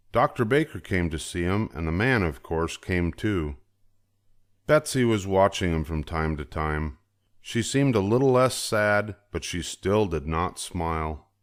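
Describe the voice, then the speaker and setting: Older male storybook narrator, very low voice, expressive read-aloud for young children. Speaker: storybook reader.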